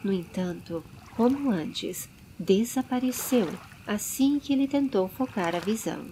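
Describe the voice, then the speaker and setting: A woman's voice: a little high, lively intonation, a little slow, dark tone, a little hiss or hum, low-quality recording. A woman with a Brazilian accent narrating a dramatized fantasy audiobook.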